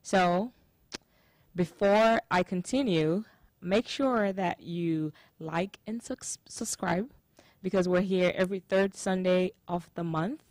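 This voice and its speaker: An upbeat woman hosts a relaxed community interview, chatting easily and laughing along with her guests. Her voice sits a little low for a woman. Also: slow pace, no room sound. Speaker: host. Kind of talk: casual interview.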